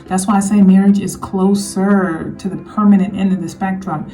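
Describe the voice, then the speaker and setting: A woman giving an earnest commentary monologue on her channel, slightly hoarse, often repeating her points to drive them home. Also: mid-range voice, fairly even intonation, normal speed, dark tone, far from the mic, poor audio. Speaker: vlogger.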